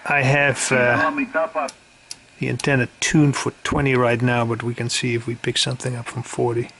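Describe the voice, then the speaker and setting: A man giving a casual, unscripted demonstration in a matter-of-fact way, pausing mid-sentence as he goes. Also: medium pitch, completely flat delivery, vocal fry. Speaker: amateur radio hobbyist presenter. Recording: loud background noise.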